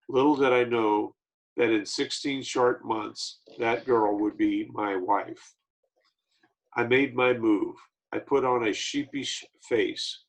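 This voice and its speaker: A man reading a memoir aloud, slow and measured with small slips. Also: mid-range voice, vocal fry, husky, rough recording. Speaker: reader of an autobiography.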